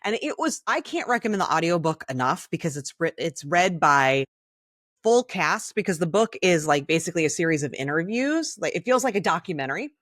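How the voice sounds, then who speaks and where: A woman's voice: on the low side, sing-song, a little fast, fairly bright tone, dry recording. A woman co-hosting a casual podcast, talking candidly and enthusiastically with rambling asides, close to the mic. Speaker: podcast co-host. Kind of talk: casual conversational podcast chat.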